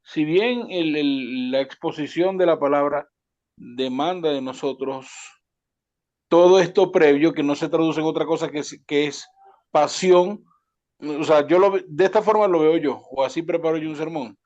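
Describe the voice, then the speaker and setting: A man's voice: fairly high pitch, normal speed, a little mellow. A man with a Venezuelan accent asking a question in an online webinar, hesitant and often restarting his words, over dull call audio. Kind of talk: spontaneous question to a speaker.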